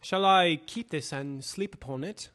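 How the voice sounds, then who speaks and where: A man acting a cautious, concerned gentleman lawyer in an audio drama, formal and questioning. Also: a little high, unhurried. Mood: probing.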